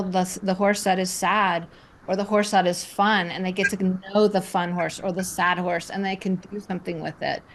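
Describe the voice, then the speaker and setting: A woman speaking as a panelist in an informal, rambling, conversational way. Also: mid-range voice. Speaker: panelist working with clients. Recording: noticeable background noise.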